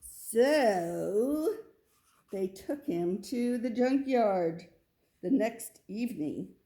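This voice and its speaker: A woman's voice: mid-range voice, expressive, very slow, dark tone. A woman narrating a children's story as a read-aloud. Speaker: storybook reader.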